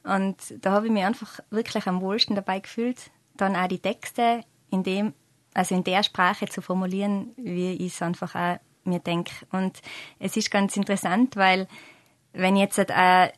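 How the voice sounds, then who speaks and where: A woman's voice: medium pitch, little pitch movement, normal speed, no room sound. A middle-aged woman from Tyrol with a Tyrolean accent, answering interview questions casually and searching for her words as a guest singer-songwriter. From Tyrol, Austria.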